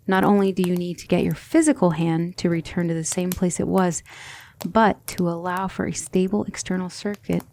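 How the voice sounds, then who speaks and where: A woman playing a gentle, patient demon tutor in an ASMR roleplay, speaking in measured, formal instruction that often drops toward a whisper. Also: fairly low pitch, creaky, a little hiss or hum. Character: gentle, patient demon tutor guiding a magic student, female.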